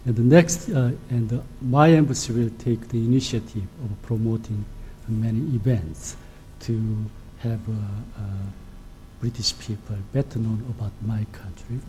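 A middle-aged man from Korea with a Korean accent, an ambassador giving a formal lecture in a halting, soft-spoken way. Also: fairly low pitch, steady tone, slight background noise, low-quality recording. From South Korea. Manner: halting, with frequent hesitations.

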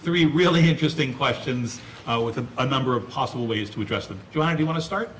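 A man's voice: medium pitch, fast, fairly bright tone, slight background noise. Polite man moderating a panel, roomy sound. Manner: polite, directing questions. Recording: roomy hall audio.

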